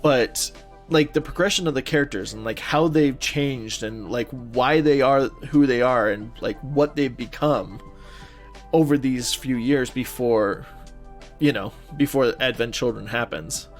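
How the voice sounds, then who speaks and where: A man co-hosting a podcast, chatting casually and a little haltingly, often feeling his way through his thoughts. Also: medium pitch, soft background music. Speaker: podcast co-host. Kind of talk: casual chat about movies and video games.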